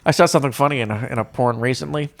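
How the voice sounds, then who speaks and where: A young man co-hosting a podcast, telling crude stories in loose, animated banter. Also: mid-range voice, racing, creaky. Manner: animated, joking storyteller. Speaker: podcast co-host.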